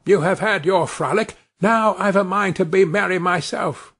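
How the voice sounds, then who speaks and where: A man voicing a tiny, cheeky boy hero in a storybook, speaking in a high, eager, sing-song voice. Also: normal speed, fairly bright tone, breathy edge, full character voice.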